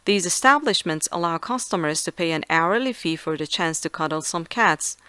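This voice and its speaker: Female narrator for scripted article reading, crisp and resonant, recorded dry and close. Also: on the low side, expressive, normal speed, sharp tone, no room sound. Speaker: narrator of read-aloud exam practice passages.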